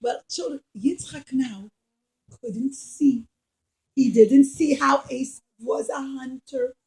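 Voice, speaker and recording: A high-voiced woman tells a story to children. She uses simple, emphatic phrasing and addresses her young listeners directly, like a teacher. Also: slow pace, creaky, a little mellow, rough recording. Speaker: children's puppet show storyteller. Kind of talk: animated storytelling for children, asking questions.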